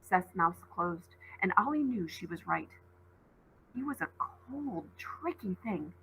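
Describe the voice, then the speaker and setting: A woman's voice: fairly low pitch, expressive, slow pace, gravelly, bright, forceful tone, slight background noise, low-quality recording. A woman teacher reading a storybook aloud expressively and dramatically, with asides to her listeners.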